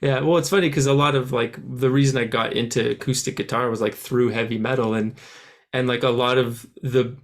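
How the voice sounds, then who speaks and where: A man's voice: medium pitch, fairly even intonation, a little fast. Male musician guest from France, raised in Canada; casual, rambling, full of restarts. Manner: rambling, many restarts and "like" fillers. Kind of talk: casual interview answers.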